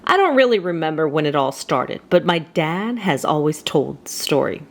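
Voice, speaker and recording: Low-voiced woman telling a story over music. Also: very animated. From United States, Southern California. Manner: theatrical, conversational, with dramatic pauses and Spanish asides. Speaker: storyteller host. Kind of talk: personal scripted storytelling. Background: music playing behind the voice.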